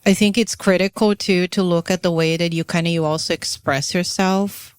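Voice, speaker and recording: A woman's voice: fairly low pitch, steady tone, moderate pace, clear, resonant tone, no echo. Woman, dry close sound. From Brazil. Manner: reflective, searching for words, many fillers. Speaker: podcast co-host. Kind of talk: casual spiritual chat.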